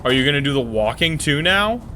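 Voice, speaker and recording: Casual male game streamer, forceful and animated, tossing out wry mock-aggrieved remarks over faint noise. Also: fairly high pitch, fairly quick. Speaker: game streamer, let's play commentator. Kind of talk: casual reactive game commentary.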